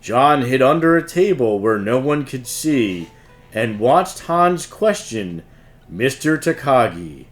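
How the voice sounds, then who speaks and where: A man reading a rhyming holiday storybook aloud in an expressive, measured way. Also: medium pitch, faint music behind. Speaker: host and storybook reader. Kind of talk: read-aloud storybook narration.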